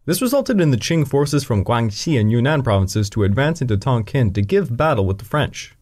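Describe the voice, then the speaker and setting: A man's voice: mid-range voice, sing-song, a little fast, darkish tone. A man narrating a scripted history podcast, with dry joking asides. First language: English. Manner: dry asides breaking into the reading.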